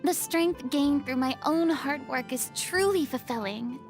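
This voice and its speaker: A woman's voice: sits high, a little slow, soft background music. A woman voicing a theatrical, proud yet secretly wistful young former deity in a game, with refined, dramatic diction. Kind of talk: scripted game character voice lines.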